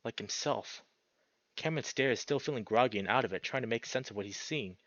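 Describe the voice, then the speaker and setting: A man's voice: mid-range voice, brisk, fairly bright tone, no room sound, muffled recording. A man reading a visual novel aloud as a streamer, mixing narration with casual, sweary commentary.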